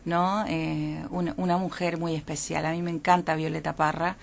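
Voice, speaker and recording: A woman from Chile, a poet, answering interview questions in a calm, thoughtful way with some fillers. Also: deep voice, normal speed, gravelly. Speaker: guest poet.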